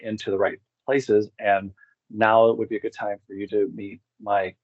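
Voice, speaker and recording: Guy with a lowish voice chatting in an interview, kinda rambly, really dry close sound, bit dull. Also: little pitch movement, normal speed, no room sound. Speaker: guest, expedition group co-founder. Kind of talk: casual interview talk.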